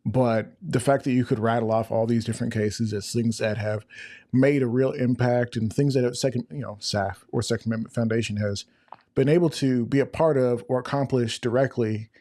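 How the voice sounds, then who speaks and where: A man hosting a podcast, talking in a casual, rambling way with a flat voice he jokes sounds sarcastic. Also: mid-range voice, brisk, no room sound. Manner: flat-voiced, rambling and chatty, self-described. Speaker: podcast host. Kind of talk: casual interview chat.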